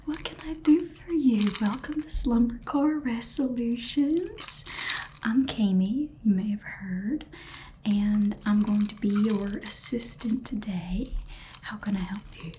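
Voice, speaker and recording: Sassy chatty Southern saleswoman, voiced by a woman: slow sugary whisper, warm, faint typing behind. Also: a little high, a little hiss or hum, rough recording. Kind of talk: whispered ASMR roleplay.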